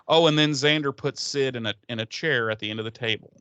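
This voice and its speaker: A man's voice: medium pitch, fast talker, a bit bright, clear, resonant tone. A man co-hosting a podcast, recapping an episode in a casual, sarcastic, rambling way with plenty of swearing. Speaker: podcast co-host.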